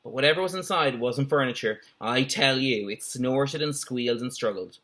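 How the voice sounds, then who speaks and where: A man's voice: medium pitch, normal speed, a bit bright, close to an everyday voice. A man voicing an earnest, agitated schoolboy telling a mystery in a children's story, emphatic and stumbling a little.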